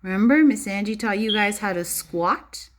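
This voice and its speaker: A woman teacher reading a storybook aloud to young children in an expressive, child-directed way, pausing to explain and ask questions. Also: mid-range voice. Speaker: preschool or early primary teacher.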